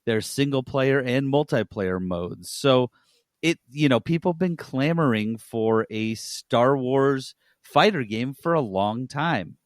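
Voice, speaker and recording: A man's voice: medium pitch, slow pace, no room sound, clean studio sound. A man hosting a casual gaming news podcast, talking in a blunt, opinionated way.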